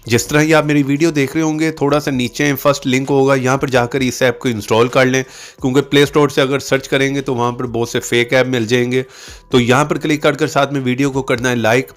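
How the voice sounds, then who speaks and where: A man's voice: mid-range voice, level tone, fairly quick. A man hosting a tutorial channel, narrating step-by-step instructions on how to use an app.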